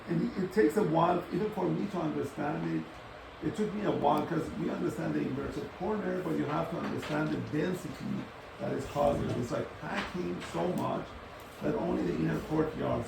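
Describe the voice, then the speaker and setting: Halting, gravelly-voiced man in a roomy space. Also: fairly high pitch. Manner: halting, thinking aloud, rephrasing often. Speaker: discussion moderator responding to speakers. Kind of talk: unscripted academic architecture discussion. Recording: roomy, distant microphone, clear background noise.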